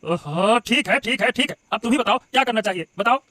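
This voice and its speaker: A man as a very high, cocky quarrelsome husband. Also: lively intonation, bright, forceful tone, dry recording. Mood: dismissive, defensive. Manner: gloating, dismissive, drawn-out exclamations. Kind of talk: Hindi cartoon story dialogue.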